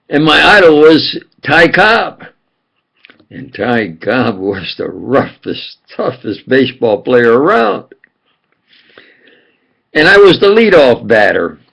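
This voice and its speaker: Slow gravelly older New York man. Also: mid-range voice. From upstate New York. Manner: slow, halting, emphatic, with sudden loud stresses. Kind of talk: rambling personal monologue.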